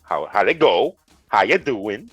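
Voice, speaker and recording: A congested man co-hosting a gaming news chat, making dry, deadpan jokes as he explains the news. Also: on the low side, unhurried, husky, no echo, highs cut off, soft background music. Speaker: co-host, retro gaming specialist.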